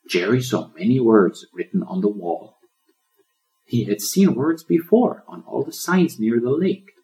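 A man's voice: lowish voice, a little mellow, rough recording. A man reading a children's story as scripted audiobook narration. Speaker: author reading his audiobook.

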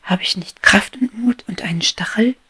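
A woman voicing an eager, bold young female bee in a storybook reading, speaking in an excited half-whisper that rises louder. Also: mid-range voice, moderate pace, husky, voice pushed up. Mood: defiant joy. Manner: excited soft whisper turning loud.

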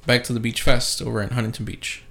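A man's voice: mid-range voice, little pitch movement, quick pace, creaky, a bit bright. A man hosting a casual podcast chat, laid-back, swearing often and laughing easily. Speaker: podcast host. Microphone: podcast mic.

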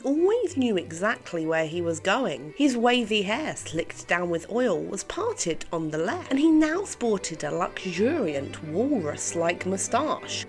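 A woman with a slight head cold, a bit nasal, hosting an educational literature podcast in a chatty, opinionated way with asides. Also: a little high. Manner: chatty and opinionated, reads quotes aloud with asides. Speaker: podcast host.

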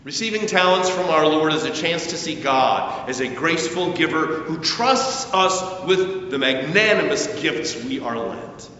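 A man preaching a sermon as a pastor, with measured, deliberate phrasing, emphatic rises and falling sentence endings. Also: expressive, pushed and bright. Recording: very echoey church, distant mic, muffled, faint rumble.

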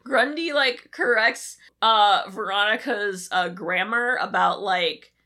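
A woman's voice: medium pitch, slow pace, bright, forceful tone. A woman co-hosting a podcast in casual chat, recapping an old cartoon with a sweary, incredulous manner. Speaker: podcast co-host.

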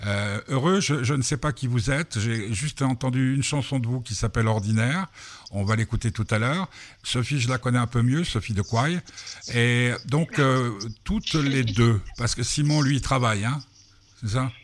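A man's voice: mid-range voice, normal speed. A man hosting a casual radio interview, teasing his guests and rambling with frequent repetitions. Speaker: radio host.

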